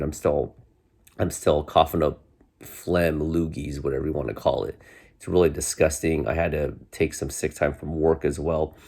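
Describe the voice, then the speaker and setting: Deep-voiced congested man in his forties. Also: a little mellow. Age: 40s. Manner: rambling, many restarts and fillers. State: congested, getting over a cold. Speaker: vlogger. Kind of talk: casual chat to camera. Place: bedroom, indoors.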